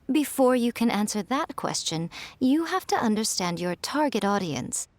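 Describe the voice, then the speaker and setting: A woman voicing a sly, elegant fox spirit who mentors with smooth, unhurried teasing. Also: a little high, sing-song, dry recording. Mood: instructive. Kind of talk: video game cutscene voice acting.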